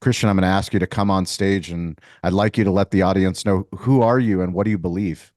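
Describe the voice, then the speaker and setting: A man with a deep voice works as an interview host. He talks conversationally to guest and listeners, and the sound is dry, with very little room in it. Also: steady tone, fast, a little mellow, no room sound. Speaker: podcast host, restaurateur.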